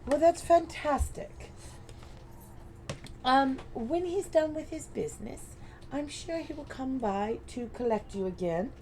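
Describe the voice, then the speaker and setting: A woman's voice: high-pitched, animated, slow, darkish tone, a little hiss or hum, exaggerated voice. A woman voicing a distracted, kindly male frog-folk artificer, polite and a little fussy, in a tabletop role-play. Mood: friendly. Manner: polite, fussy shopkeeper talk.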